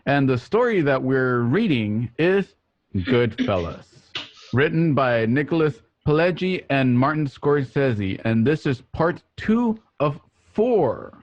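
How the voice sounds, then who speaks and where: A man's voice: mid-range voice, big pitch swings, slow pace, no echo. A man hosting a radio show, presenting the intro in an announcer-like way. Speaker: host. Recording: dull lo-fi home recording.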